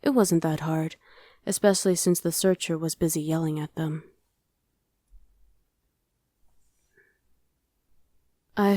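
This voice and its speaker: Woman acting a mellow, low-voiced young female survivor. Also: dry recording, studio quality, natural voice. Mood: friendly, curious. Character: friendly, streetwise young woman surviving a demon invasion. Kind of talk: ASMR roleplay, conversational acting addressed to the listener.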